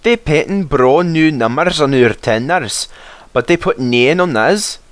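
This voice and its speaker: High, cheeky Scottish engine. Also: male voice, lively intonation, measured pace, no room sound. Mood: conspiratorial. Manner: mock-innocent, chirpy. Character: cheeky, sly male Scottish twin steam engines.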